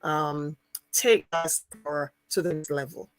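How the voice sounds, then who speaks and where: Man, very slow, gravelly voice, deliberate official, dry sound. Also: fairly high pitch, fairly even intonation, no room sound, poor audio. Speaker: official guest. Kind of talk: radio interview.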